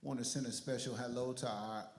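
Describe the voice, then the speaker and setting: A man hosting a church community talk before a studio audience, energetic and rallying online viewers and the crowd. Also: mid-range voice, fairly quick. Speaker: church livestream host. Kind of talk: hyped livestream hosting.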